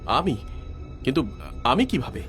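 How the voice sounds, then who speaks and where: A man's voice: fairly high pitch, sing-song, unhurried, a bit bright. Man playing an anxious, melodramatic village headman. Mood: fearful. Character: terrified, pleading village headman, a married man. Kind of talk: dramatized animated village story. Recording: music behind the voice.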